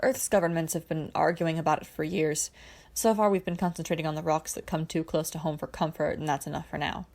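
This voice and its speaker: A woman reads as the male leader of a space team in an adventure story. He is steady and serious, speaking in measured, dry statements. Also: low-pitched, gravelly. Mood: grim, measured.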